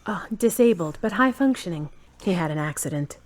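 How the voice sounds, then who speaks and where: A woman playing a wry, thoughtful grown daughter in an audio drama, measured and dry. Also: fairly low pitch, expressive, close to an everyday voice. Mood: guarded, unsure. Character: wry, guarded adult daughter, thoughtful and independent.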